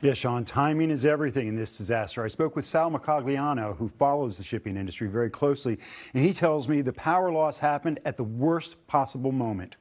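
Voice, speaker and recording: A man's voice: mid-range voice, a little fast, darkish tone. A man reporting for television news in scripted narration.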